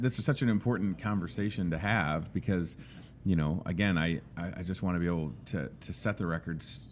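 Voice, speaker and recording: A man with a fairly low voice hosts a casual interview. He says himself that his voice is loud and that it carries. He talks with emphatic, animated enthusiasm. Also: a little hiss or hum. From Midwestern United States. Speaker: host.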